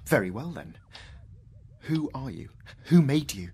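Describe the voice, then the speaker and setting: An actor playing an anguished, disbelieving mathematician in a radio drama, bitter and agitated, moving between outbursts and faltering murmurs. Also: male voice, medium pitch, big pitch swings, a little slow. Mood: exasperated.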